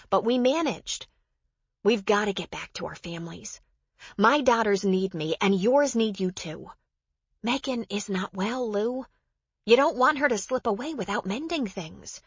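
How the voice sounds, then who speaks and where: A woman's voice: on the high side, husky, no echo, highs cut off, pitched above the performer's own voice, full character voice. A woman narrator voicing a frank, consoling mother in an audiobook, earnest and pressing.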